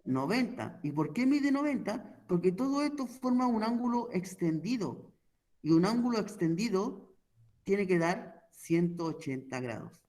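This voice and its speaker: A man's voice: high voice, lively intonation, unhurried, darkish tone. A man explaining an online math lesson, patiently walking through each step and checking in often with his listeners. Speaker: math teacher.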